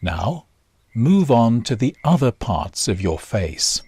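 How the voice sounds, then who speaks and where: Slow, husky man guiding meditation. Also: mid-range voice, sing-song, darkish tone, no room sound. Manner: slow, measured instruction with short phrases and long pauses. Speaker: meditation guide. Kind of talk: guided body scan meditation. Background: nature sounds.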